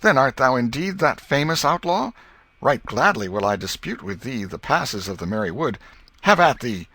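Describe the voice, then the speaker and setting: A man's voice: medium pitch, very animated, normal speed, sharp tone, husky, pitched above the performer's own voice, exaggerated voice. A man voicing a defiant schoolboy in a storybook who plays a haughty outlaw foe, with stagy challenges and rustic protests.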